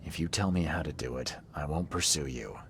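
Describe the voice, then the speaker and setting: An actor playing a weary, skeptical investigator in a video game, with a dry, blunt, probing manner. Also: male voice, very deep voice, fairly quick, a little hiss or hum. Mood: skeptical, stern. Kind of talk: video game dialogue acting.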